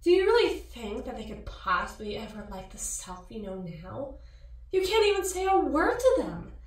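A woman's voice: on the high side, big pitch swings, normal speed. A woman playing a sly, seductive, mocking mirror alter ego in an ASMR roleplay, cooing and teasing. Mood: coaxing, cutting. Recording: echoey, distant-sounding voice.

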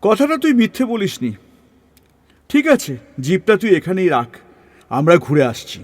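A man's voice: high voice, wildly swooping pitch, moderate pace, darkish tone, no echo. A man playing a weary, irritable government overseer in an audio story, grumbling and bossy in colloquial speech. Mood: businesslike, deciding. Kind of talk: Bengali audio story dramatization.

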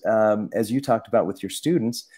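Low-voiced male co-host, casual and halting, full of fillers and restarts. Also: mellow. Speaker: podcast co-host. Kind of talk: casual discussion.

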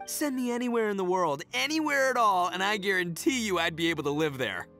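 Casting: exuberant young oni gang leader for a video game, high bright voice, cocky and slangy, music underneath. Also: male voice, expressive, brisk. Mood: exuberant, boastful. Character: brash, carefree, boastful young male oni gang boss. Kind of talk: English video game dub acting.

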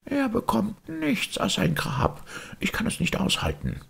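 A man's voice: high-pitched, wildly swooping pitch, unhurried, creaky, a bit bright, slightly rough, pitched above the performer's own voice, exaggerated voice. A man voicing a lonely little tin soldier in a storybook, lamenting plaintively. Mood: despairing.

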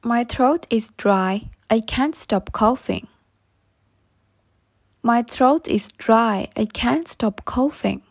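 Slow, careful higher-voiced woman. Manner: slow, careful, each phrase said twice. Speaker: English teacher. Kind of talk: repeating practice phrases for learners.

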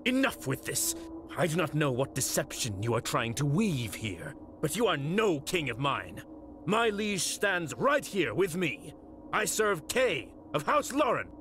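A stern, declaiming loyal knight. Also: male voice, a little high, sing-song, unhurried, a bit bright, a little hiss or hum. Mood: outraged. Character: loyal, indignant knight guarding his young liege. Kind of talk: video game voice acting.

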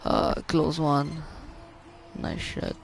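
A young man with a Québécois French accent, live-streaming a car soccer game, chatting with viewers and reacting loudly to plays. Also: on the high side, drawn-out words, creaky, faint music behind. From Quebec. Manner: excitable, groans and repeats "non" at misses. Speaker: gaming streamer. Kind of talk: live gameplay commentary reading chat.

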